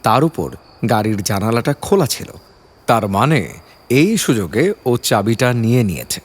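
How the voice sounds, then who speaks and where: A man's voice: fairly low pitch, lively intonation, normal speed. A man narrating a scripted detective story in a dramatic, suspenseful storytelling style.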